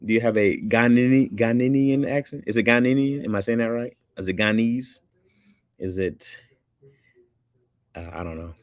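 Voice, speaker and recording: A man's voice: mid-range voice, normal speed, long pauses, no echo, clean studio sound. A man hosting a livestream, chatting casually with his viewers in a rambling, laid-back way and laughing often. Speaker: livestream host reading chat. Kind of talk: casual chat.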